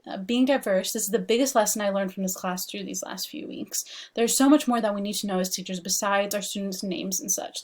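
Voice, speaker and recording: A young woman from Washington DC talks through a class assignment into her laptop webcam at home. She keeps it loose and chatty, leaning on "like" and "um" as she goes, at a middling pitch. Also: darkish tone. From Washington DC, United States. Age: young adult. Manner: chatty, frequent "like" and "um" fillers. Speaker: student presenting an assignment. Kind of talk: casual recorded class presentation. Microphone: laptop webcam mic.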